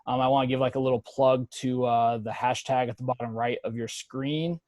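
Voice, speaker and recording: A relaxed man talks casually over a video call, encouraging his listeners with plenty of ums and uhs. His voice is dry and close, with no room sound. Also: mid-range voice, brisk, no room sound. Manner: relaxed, filler-heavy, encouraging. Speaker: professor and webinar presenter. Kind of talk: casual webinar talk.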